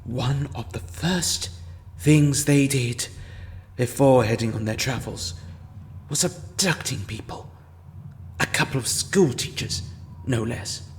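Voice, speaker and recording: A man's voice: medium pitch, a little hiss or hum. A man playing a scheming, self-important male time-meddler in a fan audio drama, speaking with sardonic impatience. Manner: sardonic and impatient, slow deliberate delivery.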